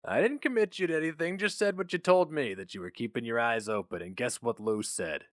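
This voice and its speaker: A man's voice: mid-range voice, a little fast, fairly bright tone, no echo, studio quality, lightly acted. Man playing a chummy, breezy company recruiter. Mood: chummy, coaxing. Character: chummy, chuckling company recruiter Dilling, smoothly persuasive.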